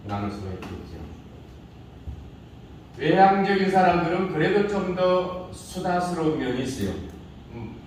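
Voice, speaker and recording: A middle-aged male speech instructor, lecturing in an informal way and needling his class with rhetorical questions. His pitch swings widely as he talks. He is heard from far off in a very echoey classroom, with faint room noise behind him. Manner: informal banter with students.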